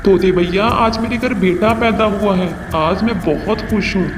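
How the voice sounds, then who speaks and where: A domineering cartoon father bird, acted by a man, pitched high for a male voice. He talks in a harsh, boastful, scolding way, in a long hall-like reverb with music clearly behind him. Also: moderate pace, close to an everyday voice. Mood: elated, boastful. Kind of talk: Hindi cartoon voice acting.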